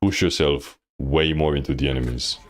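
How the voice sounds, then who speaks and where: A man's voice: rumbling low, normal speed. A man coaching on a live stream, reviewing gameplay bluntly with lots of fillers. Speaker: game coach.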